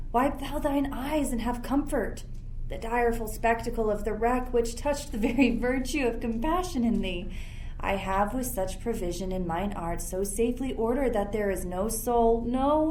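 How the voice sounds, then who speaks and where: A woman playing a commanding, motherly sorceress in a Shakespeare radio play, with measured, solemn verse. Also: mid-range voice, a little mellow, slight background noise, close to an everyday voice, poor audio.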